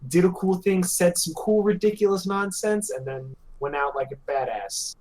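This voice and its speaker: A man's voice: high voice, moderate pace, a little mellow. A man co-hosting a podcast in casual group chat, joking and teasing. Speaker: podcast co-host.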